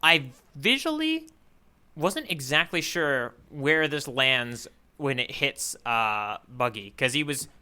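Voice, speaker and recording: A man co-hosting a podcast, chatting casually and haltingly as he thinks aloud. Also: mid-range voice, lively intonation, sharp tone, clear, resonant tone. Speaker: podcast co-host. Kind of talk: casual chat reacting to a story.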